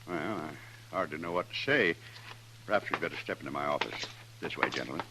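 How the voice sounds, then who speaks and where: An actor playing a fussy, obliging frontier banker, formal and ingratiating, in a 1950s radio western. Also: male voice, rumbling low, a little fast. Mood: guarded. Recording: vintage radio broadcast with faint noise.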